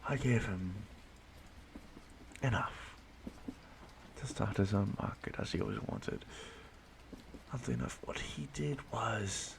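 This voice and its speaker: A man playing an ancient immortal stalker in an audio roleplay, softly menacing yet courteous and wistful, musing haltingly in a gravelly murmur that often drops to a whisper. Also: on the low side, a little hiss or hum, full character voice. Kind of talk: slow intimate audio roleplay monologue.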